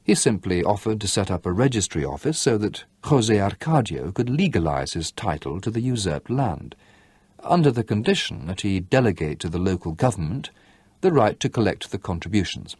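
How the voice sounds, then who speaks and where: A man narrating a literary novel aloud in a measured storytelling delivery. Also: fairly low pitch, sing-song, darkish tone, breathy edge, dry recording. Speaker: audiobook narrator.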